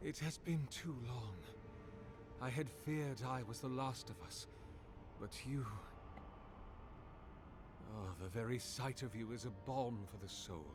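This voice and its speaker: A man's voice: medium pitch, measured pace, long pauses, lightly acted. A man voicing an ancient, devout male oracle priest who speaks in grave, archaic phrasing. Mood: yearning. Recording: game audio over loud music.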